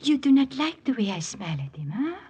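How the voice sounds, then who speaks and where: An actress playing an alluring, shrewd French woman in a 1940s radio drama, teasing in measured, formal English with a French accent. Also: on the high side, very animated, dark tone, husky, muffled recording, full character voice. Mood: teasing, coy.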